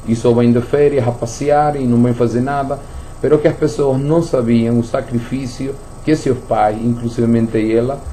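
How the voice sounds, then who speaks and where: A man's voice: mid-range voice, dark tone, slight background noise, low-quality recording. A man hosting a radio talk show, speaking Portuguese with Spanish slips from growing up in Venezuela, repeating words and restarting as he thinks aloud.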